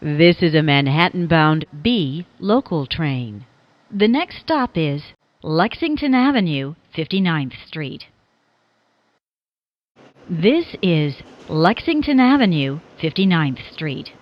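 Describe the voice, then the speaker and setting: A woman makes scripted train announcements at an unhurried, measured pace. Her pitch is on the low side and swings noticeably within each carefully enunciated, formulaic phrase. The audio is somewhat dull and lo-fi. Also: very animated, no echo.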